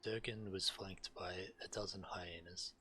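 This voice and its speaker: A man's voice: sits low, fairly even intonation, unhurried, bright, forceful tone. A man streaming a video game, reading quest text aloud and commenting casually.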